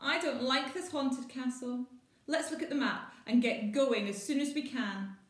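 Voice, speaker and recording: A woman voicing an excitable, adventurous little girl in an expressive storybook reading. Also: sits high, unhurried, reverberant, pitched above the performer's own voice. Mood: frightened. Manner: exclaiming, expressive storybook delivery.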